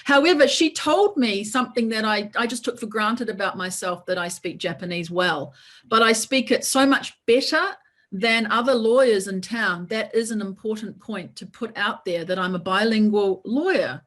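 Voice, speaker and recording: A woman's voice: mid-range voice, normal speed, a bit bright. Chatty encouraging New woman. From New Zealand. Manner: encouraging, talkative, leans on "right?". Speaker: lawyer and coach. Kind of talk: casual webinar talk.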